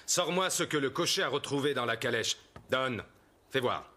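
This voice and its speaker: A man playing a domineering, brutal police commissioner in a cartoon drama, barking accusations and orders with a raspy voice. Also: mid-range voice, lively intonation, normal speed, sharp tone. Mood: accusing, commanding. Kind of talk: animated drama dubbing.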